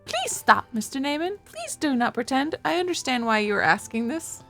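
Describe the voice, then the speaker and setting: A woman's voice: high-pitched, sing-song, moderate pace, music in the background. A woman voicing an anxious, coy young newlywed noblewoman in a visual novel, in a theatrical manner with a wandering put-on accent. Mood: indignant.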